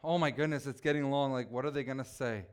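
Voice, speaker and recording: A man preaching a relaxed, conversational sermon, joking with his congregation and teasing people by name. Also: mid-range voice, fast talker, smooth. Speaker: pastor.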